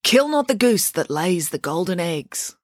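A woman's voice: fairly low pitch, very animated, measured pace, no echo, clean studio sound. A woman voicing an earnest, matter-of-fact schoolgirl in a children's bedtime story. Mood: puzzled, earnest.

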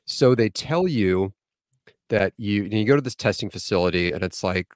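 Low-voiced man in his forties, dry close mic. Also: lively intonation, normal speed, no echo. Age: 40s. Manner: storytelling, laid-back, swears casually. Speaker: podcast co-host and new EMT. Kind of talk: casual podcast chat.

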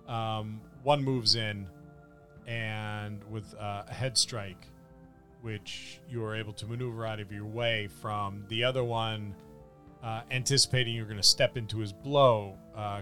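Bright-voiced man narrating a fantasy battle. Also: fairly low pitch, steady tone, faint music behind. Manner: halting, descriptive storytelling. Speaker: game master.

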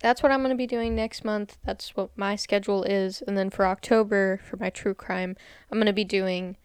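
A woman's voice: mid-range voice, steady tone, moderate pace, clean tone, dry recording, studio quality. A woman co-hosting a casual true crime podcast, chatty and laughing often, sometimes stumbling over place names. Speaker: podcast co-host.

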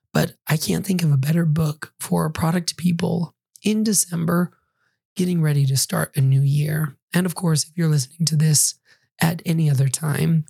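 A man hosting a solo podcast, reviewing a book in an earnest, reflective way while hoarse and recovering from losing his voice, some words breathy and half-whispered. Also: a little high, dark tone, no echo. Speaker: podcast host.

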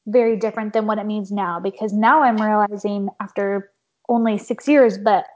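A young woman hosting a casual conversation, a little hoarse, saying "like" often with an uneven pace. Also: mid-range voice, fairly even intonation, normal speed. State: slightly hoarse. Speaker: podcast host. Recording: dull lo-fi sound.

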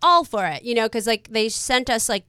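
A woman's voice: fairly high pitch, animated, normal speed, sharp tone, clean tone, no room sound, clean studio sound. A woman of fifty chatting as a guest on a talk show, rambling with many fillers and restarts. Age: 50s. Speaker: guest actress. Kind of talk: casual talk show chat.

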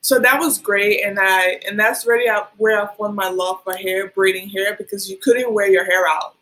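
A woman who grew up in Liberia talks as a guest on a podcast. Her speech is casual and halting, full of ums and likes, at a mid pitch for a woman. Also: fairly even intonation, pushed and bright, rough recording. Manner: hesitant, many ums and likes. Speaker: guest, hair stylist. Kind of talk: casual interview.

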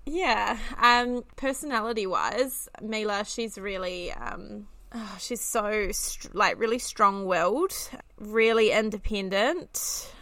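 A woman's voice: medium pitch, takes it slowly, few pauses, sharp tone, no echo. A woman in her late twenties with a New Zealand accent, co-hosting a casual parenting podcast and chatting with friends, laughing at herself often. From Australia. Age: 20s. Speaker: podcast co-host. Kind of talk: casual chat.

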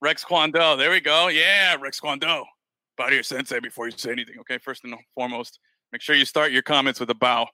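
Casual male podcast host, very bright and forward tone, dry close sound, rambling delivery. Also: medium pitch, steady tone, a little fast, no room sound. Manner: loose, rambling, heavy on "man" and "bro". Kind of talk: casual chat.

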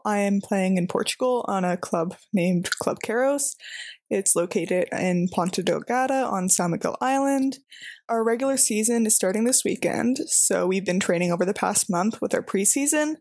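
An eager young Canadian woman introduces herself and her new team. Her voice is mid-pitched and sounds close and dry, with no room echo. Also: darkish tone. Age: 20s. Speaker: volleyball setter. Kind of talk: prepared self-introduction.

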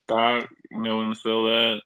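A man chatting casually as a podcast co-host, slangy and halting, often repeating himself. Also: fairly low pitch, completely flat delivery, bright, forceful tone, clear, resonant tone, no room sound. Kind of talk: casual chat.

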